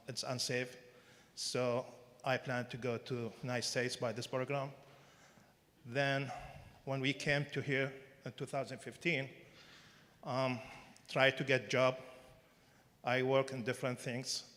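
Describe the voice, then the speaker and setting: Hesitant Iraqi-born man, flat steady voice. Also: medium pitch. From Iraq. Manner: hesitant, many fillers, careful non-native phrasing. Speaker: new citizen speaking at a ceremony. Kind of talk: halting public remarks. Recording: handheld mic in a reverberant hall.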